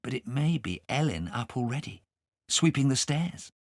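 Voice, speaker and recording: A man's voice: mid-range voice, sing-song, normal speed, dry recording, clean studio sound, pitched above the performer's own voice. A man voicing a plucky, earnest little boy in a storybook, plain-spoken and a bit anxious. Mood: tired, musing.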